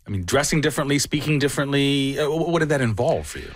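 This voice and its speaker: Lively, curious male interviewer. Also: mid-range voice, quick pace, dry recording. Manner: curious, encouraging questioner. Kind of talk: interview conversation.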